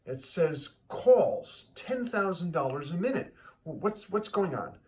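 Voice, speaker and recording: A man voicing a curious, puzzled traveling photographer in a spoken joke, asking questions haltingly. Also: fairly high pitch, sing-song, a little mellow, voice pushed up.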